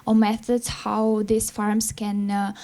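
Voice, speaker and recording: Woman speaking in a flat tone. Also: medium pitch. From Ukraine. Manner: polite, careful, pausing. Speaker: student asking a question.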